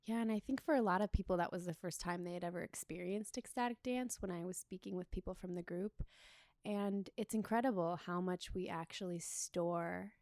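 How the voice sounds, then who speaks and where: A young adult woman, a relationship coach, speaking as a guest in a calm, reflective, soft-spoken way. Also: on the low side, a little fast, no echo, studio quality. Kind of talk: interview conversation.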